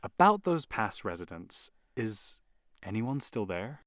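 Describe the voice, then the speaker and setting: A man's voice: on the low side, sing-song, takes it slowly, a bit bright, no echo, studio quality, exaggerated voice. A man playing a polite, doubtful house-hunting client in an audio drama, mild and hesitant, sometimes stammering.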